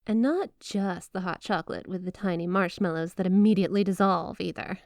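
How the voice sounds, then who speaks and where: A woman's voice: mid-range voice, fairly quick, a little mellow, no echo, clean studio sound, lightly acted. A woman playing a flirty, possessive snow spirit wife in a roleplay ASMR monologue, coy and teasing, doting on her listener with feigned innocence. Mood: giddy, doting.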